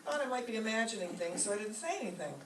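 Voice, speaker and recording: A woman's voice: medium pitch, expressive, rapid-fire, lightly acted. A woman playing a sensible, long-suffering roommate who spooks easily, with emphatic radio comedy delivery. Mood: uneasy, reasoning. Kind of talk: live old-time radio comedy acting. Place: echoey room, mic at a distance. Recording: roomy, distant live stage recording, faint noise.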